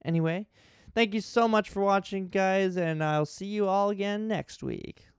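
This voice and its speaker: A man's voice: high voice, moderate pace, clear, resonant tone, no room sound, clean studio sound. A man hosting a mock dramatic reading in a theatrical, exaggerated tone of outrage. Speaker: YouTube host reading a list.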